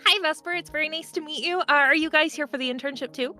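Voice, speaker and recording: A woman puts on a perky young female intern for a tabletop game. She is effusive and eager to please, in a high, very bright voice. Faint music sits behind her. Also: fast talker. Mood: eager, bubbly. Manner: gushing, effusive. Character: perky, overeager young female intern, big earnest personality. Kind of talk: improvised tabletop roleplay.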